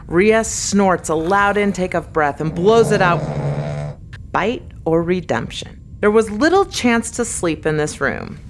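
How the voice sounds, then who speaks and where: A woman's voice: low voice, slight background noise. A woman giving scripted dramatic narration as the narrator of a radio play.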